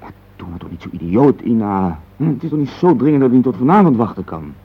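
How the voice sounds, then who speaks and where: An actor playing a busy, dismissive and placating businessman husband in a radio play, with a brisk, patronising manner. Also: medium pitch, sing-song, creaky, slightly rough, full character voice. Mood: impatient, dismissive. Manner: brisk, patronising, full of tag questions. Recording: dull lo-fi radio play recording.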